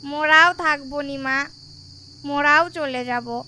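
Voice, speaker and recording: A woman's voice: high-pitched, takes it slowly, sharp tone, full character voice. A woman voicing a shy, poor village ghost child in an audio story, with bashful, rustic speech. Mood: sad, resolute. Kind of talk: audio story drama acting. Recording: very noisy, long reverb, night insects.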